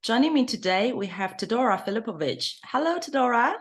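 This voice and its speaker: A woman's voice: a little high, expressive, fairly quick. Woman host introducing her guest. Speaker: host, consulting founder. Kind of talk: hosted interview.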